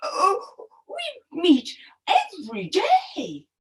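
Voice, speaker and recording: A woman storyteller voicing a soft-hearted, anxious male crocodile who pleads and repeats himself hesitantly. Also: very high-pitched, wildly swooping pitch, extremely slow, pitched above the performer's own voice, exaggerated voice. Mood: anxious, defensive.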